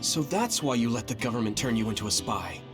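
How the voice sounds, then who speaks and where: A man's voice: mid-range voice, lively intonation, brisk, music in the background, close to an everyday voice. A man playing an earnest, courteous young squad leader in a video game, troubled and full of questions. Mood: concerned. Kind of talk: anime-style video game cutscene acting.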